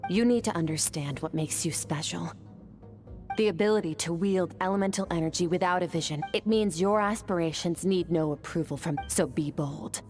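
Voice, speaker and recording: Woman as a low-voiced, austere female mentor. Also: a little mellow, soft background music, lightly acted. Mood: composed, encouraging. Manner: composed, measured, instructive. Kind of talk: scripted game dialogue.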